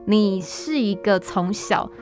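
A woman's voice: mid-range voice, slow, darkish tone. Taiwanese woman hosting over music. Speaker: podcast host interviewing a guest. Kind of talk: conversational interview. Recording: music playing behind the voice.

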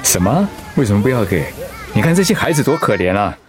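An actor with a Taiwanese accent playing a gentle, ailing pastor and husband in a radio drama, earnest and reflective. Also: male voice, on the low side, big pitch swings, quick pace. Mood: earnest, youthful. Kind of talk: Mandarin radio drama acting.

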